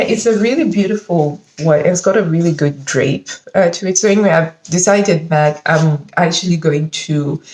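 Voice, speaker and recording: Chatty stuffy-nosed woman. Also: sits low. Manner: chatty, enthusiastic, animated with frequent laughs. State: slightly congested from hay fever. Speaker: sewing vlogger. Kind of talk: casual fabric haul chat. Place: living room at home, indoors. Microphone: camera mic at close range. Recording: echoey room.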